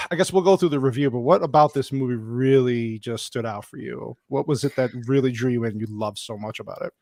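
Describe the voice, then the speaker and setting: A man's voice: mid-range voice, brisk, creaky, darkish tone, dry recording. A man hosting a casual panel podcast who jokes, swears and laughs often. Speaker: podcast host.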